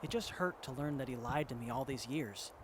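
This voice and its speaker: A man's voice: mid-range voice, a little fast, background noise, natural voice. A man playing an earnest, hesitant, kind-hearted wasteland survivor in an audio drama dialogue. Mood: conflicted, hesitant. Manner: hesitant, sincere, soft-hearted.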